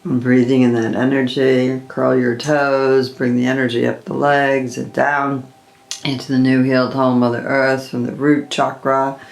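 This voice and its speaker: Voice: mid-range voice, level tone, vocal fry, low-quality recording. A meditation guide leading a chakra session, drawing out the breathing cues. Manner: slow, drawn-out breathing cues.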